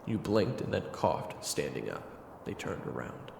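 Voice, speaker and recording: A man giving theatrical, scripted second-person narration for an audio drama, often hushed. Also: deep voice, very animated, unhurried, creaky, slight background noise. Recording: roomy sound under loud music. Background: music.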